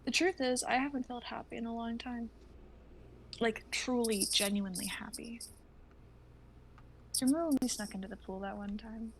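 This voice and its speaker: A woman's voice: on the high side, normal speed, pauses often, slight background noise, natural voice, low-quality recording. A woman acting a regretful young woman confessing love to an old friend, earnest and hesitant, in a dramatic livestream reading. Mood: sad, confessional.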